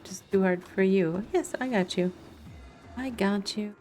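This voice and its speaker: A woman's voice: mid-range voice, a little slow, a little mellow. A woman doing casual live gameplay commentary, muttering wry asides as she plays. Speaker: game streamer. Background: game music.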